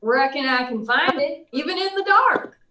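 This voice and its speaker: A woman's voice: high-pitched, lively intonation, normal speed, bright, forceful tone, recorded from a distance. A woman playing a folksy, plain-spoken small-town police chief in a 1940s-style radio drama. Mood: convinced, eager. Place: live online performance in a roomy space. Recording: roomy echo, off the mic.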